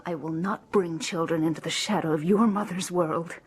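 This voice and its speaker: An actress playing a desperate, determined young wife, earnest and pleading, in a radio drama. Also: female voice, on the low side, steady tone, normal speed, husky. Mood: desperate, resolute.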